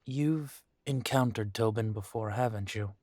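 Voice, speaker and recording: A man's voice: fairly low pitch, a little slow, darkish tone, no echo, clean studio sound. A man playing a protective new werewolf pack leader in an audio roleplay, speaking earnestly and with some hesitation. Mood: concerned.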